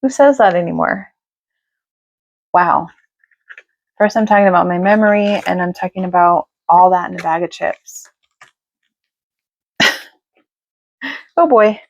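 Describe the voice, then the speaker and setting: A woman giving a casual tarot reading to her viewers, chatty and reassuring, with lots of fillers and a slightly raspy voice. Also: fairly low pitch, a little slow, pauses often, no room sound, lo-fi sound. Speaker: tarot reader.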